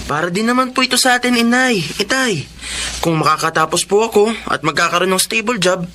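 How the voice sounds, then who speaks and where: A man's voice: high-pitched, a little fast, pushed and bright, a little hiss or hum. A young man playing an earnest, hopeful working student and dutiful son in a Filipino radio drama, speaking respectfully and sincerely. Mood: pleading, reassuring.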